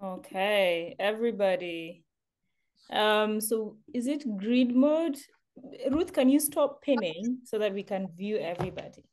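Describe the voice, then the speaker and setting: A woman's voice: mid-range voice, lively intonation, a little slow, a little mellow. A woman, a webinar co-organizer, chatting eagerly on a video call.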